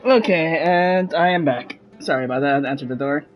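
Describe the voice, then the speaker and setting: A man's voice: a little high, a little fast, highs cut off, soft background music. A man streaming a video game, giving casual live commentary.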